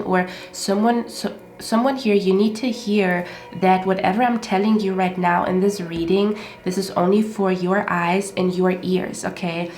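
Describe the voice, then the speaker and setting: A woman's voice: mid-range voice, darkish tone. A woman with a stuffy, congested nose giving a casual tarot card reading, repeating herself and often checking in with "okay?". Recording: roomy echo with faint music.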